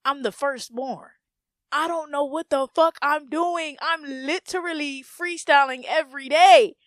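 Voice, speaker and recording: A woman's voice: sits high, a little slow, fairly bright tone, dry recording, studio quality. A young American woman from a Haitian family hosts a solo podcast, talking bluntly and profanely and repeating her points for emphasis. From United States, Haitian family. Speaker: podcast host. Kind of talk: casual solo podcast monologue.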